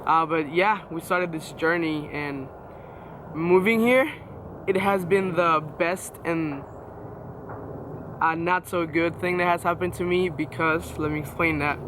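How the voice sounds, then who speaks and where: Young Venezuelan man, halting testimony. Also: fairly high pitch, fairly even intonation, background noise. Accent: Venezuelan Spanish. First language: Spanish. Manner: halting, many fillers and restarts. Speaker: guest sharing testimony. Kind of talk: personal testimony, casual.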